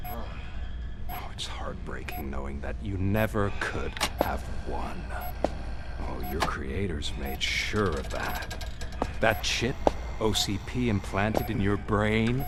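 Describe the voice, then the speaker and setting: Theatrical, slow-talking villain. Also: male voice, lowish voice, heavy background noise. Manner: theatrical, gloating, taunting. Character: smug, menacing male crime boss villain. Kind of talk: video game cutscene acting.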